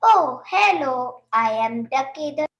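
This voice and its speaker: A woman's voice: high-pitched, lively intonation, a bit bright, dull audio, exaggerated voice. A woman voicing a small playful duck who quacks and hides from a dog. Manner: quacking, sing-song, slow and playful. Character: small chirpy female duck hiding from a dog. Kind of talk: stage play performance. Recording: echoey room.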